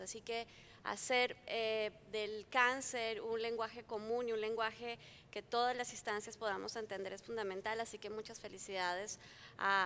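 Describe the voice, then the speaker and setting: Colombian woman w mexican-ish accent, bit high voice, earnest panel talk, faint rumble. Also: a bit bright. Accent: Colombian Spanish mixed with Mexican. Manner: earnest, fluent, repeats words when restarting. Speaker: panelist, company founder. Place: conference hall.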